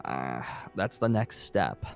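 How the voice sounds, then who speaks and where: A man playing a weary, irritable survivor leader in an improvised zombie game roleplay, talking in a halting, rambling, emphatic way. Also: rumbling low, very animated, vocal fry, faint music behind, full character voice. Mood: hesitant, hopeful. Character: weary, irritable safe-haven leader secretly seeking a zombie cure.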